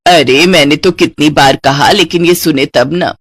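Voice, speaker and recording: A woman's voice: low voice, normal speed, breathy edge, no echo, voice pushed down. A woman voicing a bossy, nagging middle-aged mother-in-law in a cartoon story.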